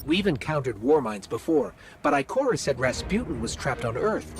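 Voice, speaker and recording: An earnest, cautious male robot companion with a slightly high, lively voice. He sounds inquisitive, in a scripted game style over music. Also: moderate pace, vocal fry. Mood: curious. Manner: earnest, questioning.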